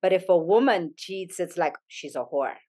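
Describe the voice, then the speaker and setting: A middle-aged woman from Zambia speaks in a fairly low voice. She is a podcast guest who talks earnestly and thoughtfully, often restarting her sentences as she works through a hard subject. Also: expressive, normal speed, darkish tone. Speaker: podcast guest, yoga studio owner. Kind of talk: reflective conversational interview.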